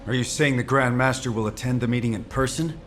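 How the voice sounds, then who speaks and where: A man's voice: medium pitch, fast, a little hiss or hum, natural voice. A man playing a guarded military commander in a video game, with a stern, formal and firm delivery.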